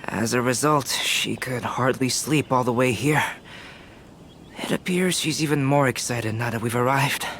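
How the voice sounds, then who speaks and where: A calm young painter. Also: male voice, mid-range voice, slight background noise. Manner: composed, measured. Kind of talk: video game voice acting. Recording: game audio with faint score.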